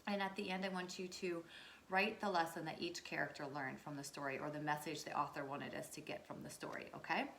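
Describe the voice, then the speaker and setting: A woman's voice: low-pitched, level tone, brisk, vocal fry, a bit bright, slight background noise. A woman teacher reading a children's storybook aloud and checking in with her listeners in an instructive way. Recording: echoey room.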